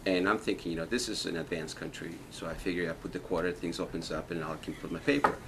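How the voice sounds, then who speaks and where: A man from Ecuador telling stories in a relaxed documentary interview, often saying "you know". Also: low voice, quick pace, gravelly, slight background noise.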